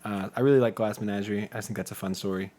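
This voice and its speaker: Low-voiced young man chatting casually. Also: fairly even intonation, racing, a little mellow. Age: young adult. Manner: joking, self-deprecating. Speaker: interview guest, community theatre actor. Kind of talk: casual chat.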